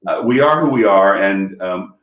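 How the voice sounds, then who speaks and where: A man's voice: low voice, lively intonation, moderate pace, muffled recording. A man chatting on a panel from home over a video call, rambling with lots of fillers and restarts. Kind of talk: casual panel chat. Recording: echoey room.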